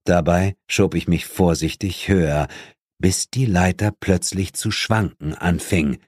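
A man's voice: deep voice, measured pace, darkish tone, breathy edge, no room sound. A man narrating a horror-comedy audiobook in a dramatic scripted style, recorded in a studio. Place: dry studio.

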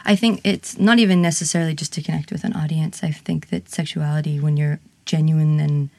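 Woman, low and gravelly, frank interviewee, laughs easily, dry sound. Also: steady tone, fairly quick, dark tone, dry recording. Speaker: burlesque singer, interviewee. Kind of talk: candid interview conversation.

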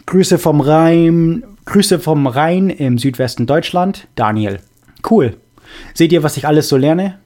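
A young man hosting a podcast, reading listener messages in a relaxed, halting way with many fillers. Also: a little high. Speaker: podcast host. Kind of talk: casual podcast chat reading listener mail.

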